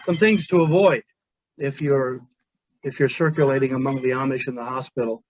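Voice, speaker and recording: A male chaplain whose first language is Pennsylvania Dutch, giving a measured, earnest webinar talk with pauses and restarts. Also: mid-range voice, fairly even intonation, dry recording. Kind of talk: webinar presentation. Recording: dull, dry lo-fi audio.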